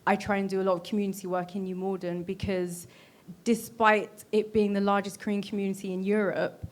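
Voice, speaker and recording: A woman's voice: mid-range voice, level tone, fairly quick. A woman with a British accent, speaking as a panelist in an earnest, explanatory and reflective way. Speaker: panelist, Korean cultural ambassador.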